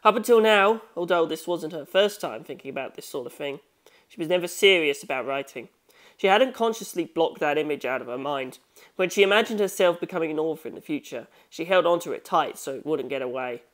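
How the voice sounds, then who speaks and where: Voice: mid-range voice, lively intonation, a little fast. A narrator reading a novel aloud as scripted audiobook narration.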